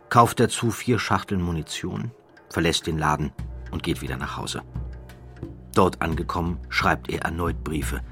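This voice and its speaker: A man tells a true story in scripted narration, his very low voice measured and grave. He sinks to a near hush at the tense turns. A faint music score runs softly beneath him.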